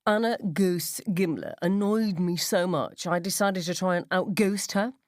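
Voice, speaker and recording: A woman's voice: lowish voice, a little slow, no room sound, studio quality, lightly acted. Woman voicing a sneering, gossipy school-gate mum. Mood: gleeful scheming. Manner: emphatic, drawling, mocking.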